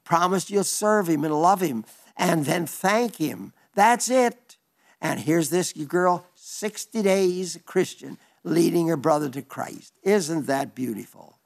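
A man's voice: fairly high pitch, expressive, slow, vocal fry. An elderly man preaching a folksy radio sermon, reading listener letters with chatty asides and small stumbles. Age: older.

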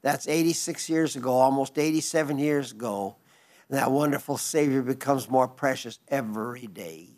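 A man in his nineties giving a broadcast sermon, reading listener letters in a gentle, rambling way with frequent restarts. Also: medium pitch, moderate pace. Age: 90s. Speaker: radio preacher.

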